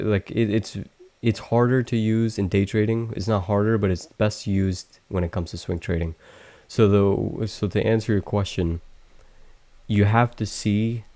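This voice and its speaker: A young man hosting a live trading stream, explaining charts in a monotone, halting way with many restarts. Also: low-pitched. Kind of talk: casual live trading lesson.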